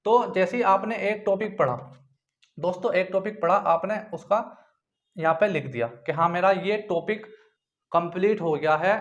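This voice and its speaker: A young man giving informal study instructions to viewers, repeating himself and often adding little check-in tags. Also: high-pitched. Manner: repetitive, frequently checks in with tag phrases. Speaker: exam-preparation tutor. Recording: dull lo-fi sound.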